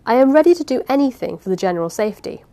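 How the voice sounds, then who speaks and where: A woman's voice: fairly high pitch, lively intonation, racing, warm tone, pitched above the performer's own voice. A woman voicing an eager, bold male harpooner who speaks forthrightly.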